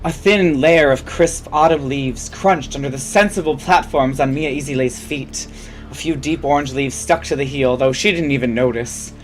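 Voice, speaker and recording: A host performs a comedic story reading in a mid-pitched voice. The delivery is theatrical, with asides and self-corrections. Music plays softly underneath. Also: moderate pace, rough recording. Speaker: fan fiction reader and host.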